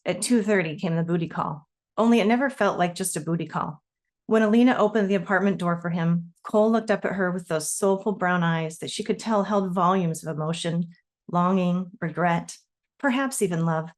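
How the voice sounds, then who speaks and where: A woman author speaking at a literary reading, presenting her own fiction. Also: fairly low pitch. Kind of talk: reading own novel aloud, with informal introduction.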